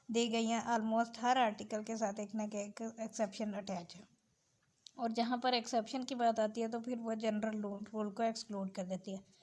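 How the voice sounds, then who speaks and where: Female lecturer, Pakistani accent, slightly high pitch, step-by-step teaching delivery with code-switched English terms. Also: darkish tone. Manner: mixes Urdu with English terms. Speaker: law lecturer. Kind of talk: explanatory lesson, reading out and explaining.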